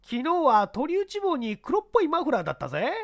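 A man playing an excitable young sidekick in a mystery story reading, exclaiming in colloquial speech. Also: very high-pitched, brisk, vocal fry, no echo, studio quality, voice pushed up, heavily acted. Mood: astonished. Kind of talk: radio-drama style mystery reading.

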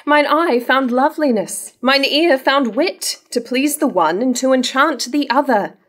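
A woman's voice: high-pitched, a little slow, a bit bright. A young woman playing a proud, honourable nobleman devoted to his love, in a formal verse drama reading. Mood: firm, devoted. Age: young adult. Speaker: actor in a staged reading. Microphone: stand mic at lectern.

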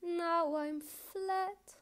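A woman voicing a lonely, wistful, apologetic balloon in a poetic monologue, with halting, deliberate phrasing and long pauses. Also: high voice, steady tone, a little mellow. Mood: regretful. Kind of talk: slow poetic performance monologue.

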